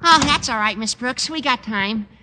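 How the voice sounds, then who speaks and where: An actor playing an eager, earnest teenage schoolboy in an old radio comedy, gushing and long-winded. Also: male voice, very high-pitched, normal speed. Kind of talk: 1940s-50s radio comedy acting. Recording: old radio recording, reverberant, faint noise.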